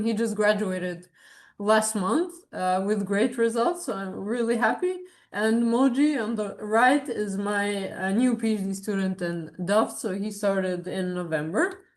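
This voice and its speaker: A woman in her thirties, born in Serbia and raised in Abu Dhabi, gives a research presentation to colleagues in an informal, explanatory way with frequent hesitations. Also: medium pitch. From born in Serbia, grew up in Abu Dhabi. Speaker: academic researcher giving a talk.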